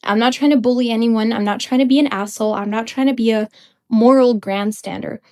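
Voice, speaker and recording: A young woman with a somewhat high voice delivers an unscripted rant as a podcast host. She talks bluntly and emphatically, with the odd laugh, and sounds slightly stuffy from a cold. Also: fairly quick, darkish tone. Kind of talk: unscripted ranting commentary.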